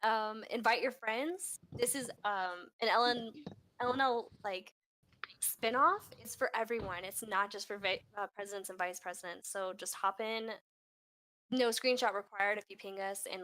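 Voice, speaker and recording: A woman's voice: medium pitch, normal speed, bright, forceful tone. A woman hosting a community voice chat, upbeat and chatty, giving casual closing remarks and laughing often. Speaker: host of a community voice-chat AMA.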